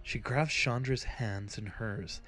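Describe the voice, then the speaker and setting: Slow, solemn male story narrator. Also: on the low side, fairly bright tone. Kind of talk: scripted dramatic fantasy narration.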